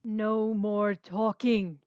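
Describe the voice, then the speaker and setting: A woman's voice: medium pitch, little pitch movement, extremely slow, darkish tone, clean tone, no room sound, dull audio. A woman playing a villainous, contemptuous orc queen with a commanding, grandiose manner in a fantasy comedy read. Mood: cold, scornful.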